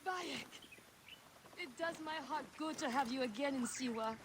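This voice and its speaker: A woman playing a kindly, steadfast ancient Egyptian village healer in a video game cutscene, earnest and deliberate in delivery. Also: high-pitched, background noise, natural voice. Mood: glad, relieved.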